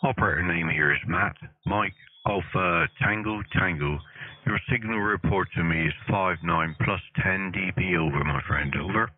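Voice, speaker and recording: A man's voice: low-pitched, normal speed, no echo. A man from Plymouth, England, operating a ham radio station in a friendly, chatty way. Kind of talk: casual ham radio chat. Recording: phone-like narrow band.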